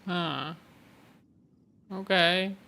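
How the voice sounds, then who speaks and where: A woman's voice: on the low side, drawn-out words, vocal fry, bright, forceful tone, slight background noise. A young woman streaming a game, giving casual, muttered reactions as she follows along. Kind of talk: casual gameplay reaction commentary.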